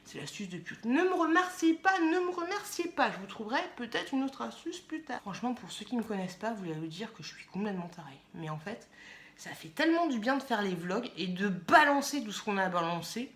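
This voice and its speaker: A woman's voice: medium pitch, animated, a little fast, a bit bright. A woman chatting casually to camera for a vlog, playful and self-mocking, often repeating her phrases as she rambles. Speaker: vlogger.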